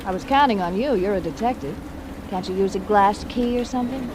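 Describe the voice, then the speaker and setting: An American actress playing an anxious, scheming femme fatale in 1940s radio noir, with a strained, insistent manner. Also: female voice, mid-range voice, a little fast, close to an everyday voice. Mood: defensive. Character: anxious, pleading femme fatale, scheming old flame of detective. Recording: very noisy old radio recording.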